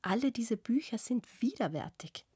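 A woman's voice: a little high, expressive, normal speed, a little mellow, breathy edge, no room sound, clean studio sound, pitched above the performer's own voice. A woman reading an exasperated man chiding his lover. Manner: chiding, incredulous questions. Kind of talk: audiobook reading of a literary novel.

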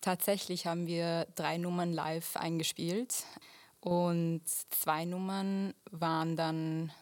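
A young woman from Vienna speaks in a radio studio. She answers thoughtfully, pausing and rephrasing as she searches for words. Her voice sits low and stays fairly level, close and dry. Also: level tone, no echo. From Vienna, Austria. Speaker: musician guest.

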